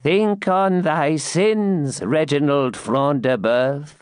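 A man voicing an unearthly spirit-like voice, broken and shrill, drawing out slow accusations. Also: smooth, dry recording, clean studio sound. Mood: accusing.

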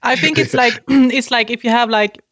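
A woman's voice: a little high, moderate pace, a bit bright, dry recording, studio quality. A woman from Sweden, a podcast host, talking casually and hesitantly with many fillers. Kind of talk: casual chat.

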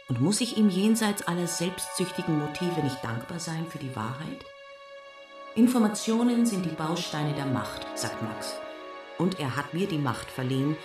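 A brooding, wounded wife telling her story in a radio play. Her voice is very low and measured, set a little away from the mic with music behind. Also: far from the mic. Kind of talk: first-person radio drama narration.

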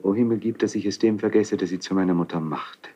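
Husky, anguished young prince. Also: male voice, low voice, quick pace, mellow. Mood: bitter anguish. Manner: impassioned, brooding, theatrical. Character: anguished young Spanish crown prince, bitter, impulsive, brooding. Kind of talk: 1970s German radio play, classical stage acting.

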